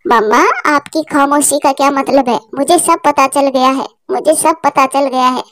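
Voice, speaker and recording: A woman's voice: high voice, fairly even intonation, normal speed, fairly bright tone. A woman voicing a young, earnest and hurt orphan boy bird in a cartoon story, with a pleading, childlike manner. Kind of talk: Hindi cartoon story character voice.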